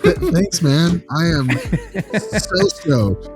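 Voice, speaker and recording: A man in casual podcast discussion, speaking in an animated, blunt and informal way. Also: fairly high pitch, extremely slow, over music.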